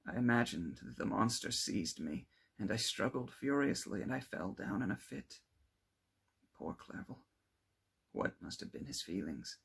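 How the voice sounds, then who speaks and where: A man reading a classic novel aloud as an amateur narrator, with expressive, theatrical emphasis. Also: on the low side, a little slow, gravelly, slightly rough. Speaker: amateur audiobook reader. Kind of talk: dramatic first-person reading of a classic novel.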